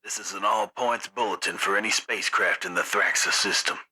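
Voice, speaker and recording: A man's voice: fairly low pitch, steady tone, a little fast, pushed and bright, husky, heavily acted. A man playing a stern military officer in a tabletop game, reading a formal, official emergency radio bulletin in a clipped manner. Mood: urgent, grim.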